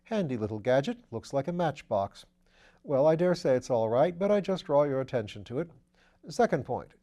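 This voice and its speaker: A man voicing a breezy aristocratic gentleman detective in an audiobook reading, chatty and full of upper-class slang. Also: a little high, close to an everyday voice. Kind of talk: audiobook character voice.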